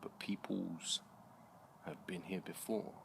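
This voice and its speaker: A deep-voiced man records a rambling, thoughtful monologue as he walks through a park at night. He speaks casually and haltingly, leaving thoughts hanging. Faint outdoor noise sits around his voice. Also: steady tone, vocal fry. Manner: musing, halting, with unfinished thoughts. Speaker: vlogger. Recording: noisy outdoor handheld recording.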